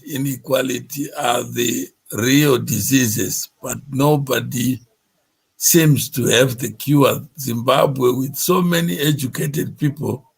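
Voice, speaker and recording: A man hosting a forum, giving slow, reflective commentary. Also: mid-range voice, vocal fry, breathy edge, poor audio. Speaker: forum host.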